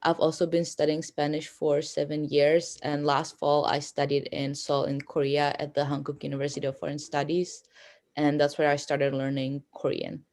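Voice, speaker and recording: A woman's voice: sits low, little pitch movement, normal speed, a little mellow. A young woman from Prague, a native Czech speaker, introducing herself upbeat in English. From Prague, Czech Republic. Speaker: student panelist.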